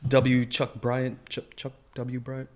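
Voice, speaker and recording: A man's voice: medium pitch, monotone, slow. A man co-hosting a podcast, chatting casually in a slangy, joking way with some swearing. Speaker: podcast co-host.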